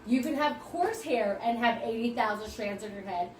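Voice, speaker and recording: A woman with a fairly high voice speaks with a teacher's confidence, laying out the rules plainly. She sounds set back from the mic in a reverberant room. A faint hiss sits under her voice. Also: animated, moderate pace, distant mic. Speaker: podcast co-host, hairstylist. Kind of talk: casual chat.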